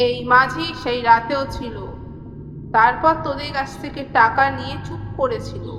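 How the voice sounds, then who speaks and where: Horror audio drama: young dead bride's spirit played by a woman, bright, piercing, vengeful, echoing over music. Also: high voice, normal speed. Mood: accusing. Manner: accusing, dramatic.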